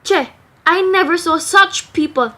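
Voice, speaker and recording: Woman acting very high-pitched indignant busybody mother. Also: a little slow, pushed and bright, slight background noise, full character voice. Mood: scolding. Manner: scolding, haughty. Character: indignant gossiping mother fussing over her son's honour. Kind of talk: amateur radio play acting.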